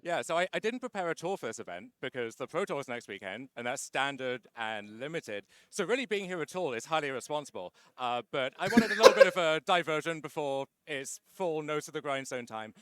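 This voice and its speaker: Bright, crisp-voiced man being interviewed. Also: on the high side, lively intonation, fairly quick, few pauses. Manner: chatty, wry, with many "uh" fillers. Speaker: tournament winner being interviewed. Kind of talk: casual post-match interview.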